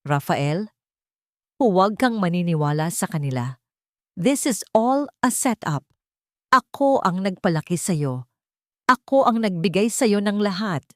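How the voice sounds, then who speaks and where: A woman's voice: mid-range voice, sing-song, measured pace, pauses often, no room sound, studio quality. A woman with a Filipino accent voicing a proud, scheming older rich mother who pleads insistently in an audio story. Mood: desperate, angry.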